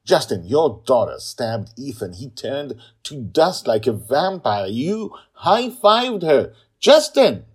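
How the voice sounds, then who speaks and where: A man with a husky voice playing a furious, sharp-tongued woman who shouts and demands answers in a read-aloud story. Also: deep voice, lively intonation, measured pace, few pauses, full character voice. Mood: shouting.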